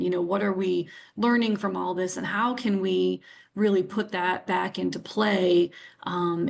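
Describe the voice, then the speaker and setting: A woman's voice: mid-range voice, creaky. A woman, presenting a webinar over a video call. She has a scratchy voice from sinus trouble and talks in an upbeat, encouraging way with frequent fillers.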